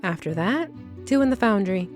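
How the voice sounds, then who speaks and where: A woman's voice: medium pitch, lively intonation, normal speed, natural voice. A woman playing a blunt, no-nonsense young hireling in a fantasy audio drama, speaking curtly and straightforwardly. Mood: guarded, businesslike. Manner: curt, straightforward. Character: slight, blunt, no-nonsense female fantasy lab worker for hire. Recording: music bed behind the voice.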